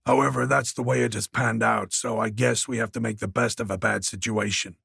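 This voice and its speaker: A man voicing a hardened, cold man who speaks in a low, cutting, sardonic way. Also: fairly even intonation, fairly quick, creaky, fairly bright tone, slightly rough, no room sound, clean studio sound, heavily acted. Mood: scathing.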